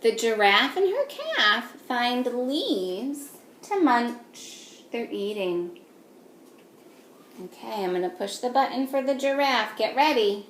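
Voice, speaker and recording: A woman teacher reading a picture book aloud to young children, patiently, in a sing-song way, pausing to ask them simple questions. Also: fairly high pitch, sing-song, fairly bright tone. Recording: echoey room with faint noise.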